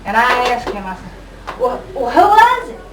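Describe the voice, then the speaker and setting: A woman with a high voice delivers an amateur comic monologue at an unhurried, halting pace. She is some way from the mic in a roomy, echoing space, on a noisy home video. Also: big pitch swings, recorded from a distance. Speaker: amateur puppet show performer.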